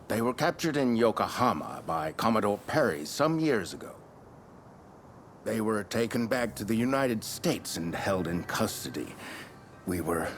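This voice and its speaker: A man's voice: low-pitched, a little hiss or hum. A man playing a blunt, condescending, worldly consul general in a video game cutscene, speaking formally with some pomposity.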